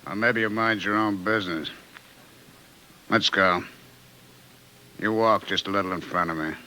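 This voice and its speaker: An actor in an old radio drama playing a big, gruff, menacing agent who barks curt orders. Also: male voice, sits low, unhurried. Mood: bullying. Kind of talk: 1950s radio drama acting. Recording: noisy old 1950s radio recording.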